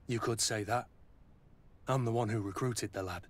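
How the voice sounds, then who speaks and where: An actor playing a gruff, stubborn army captain in a video game, blunt and plain-spoken. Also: male voice, on the low side, moderate pace, close to an everyday voice. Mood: disbelieving, stubborn. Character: gruff, stubborn male captain, loyal to his recruits. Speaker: military captain. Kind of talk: video game dialogue acting.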